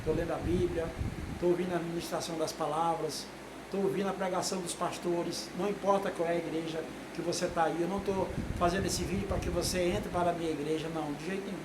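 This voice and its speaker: A man's voice: fairly high pitch, steady tone, fast. Older man, rambling homemade sermon, noisy background. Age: 60s. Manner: rambling, frequent tag questions. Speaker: lay preacher. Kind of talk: informal video sermon. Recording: echoey room with noise.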